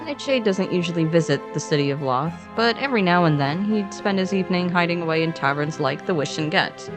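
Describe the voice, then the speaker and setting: A woman with a fairly low voice tells a story as one of two podcast narrators. Her delivery is scripted yet conversational, playful and dryly informal, and music plays clearly underneath. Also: expressive, a little fast. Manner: playful, informal, dry asides.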